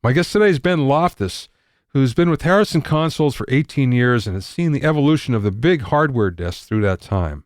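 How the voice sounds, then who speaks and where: A man's voice: mid-range voice, animated, fairly quick, no room sound, studio quality. A man hosting a music-production podcast, reading news items with wry asides before introducing his guest. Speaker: podcast host. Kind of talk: scripted news segment and interview.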